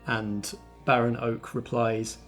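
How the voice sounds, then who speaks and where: Unhurried male game master, mid-pitched, descriptive storytelling, faint music behind. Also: level tone, a bit bright. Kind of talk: narrating a tabletop roleplaying game. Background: faint background music.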